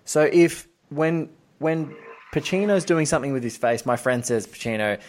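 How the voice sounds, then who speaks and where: A man's voice: fairly high pitch, normal speed, slight background noise. A man hosting a casual film discussion, talking in an enthusiastic, analytical and digressive way. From Australia. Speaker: podcast host.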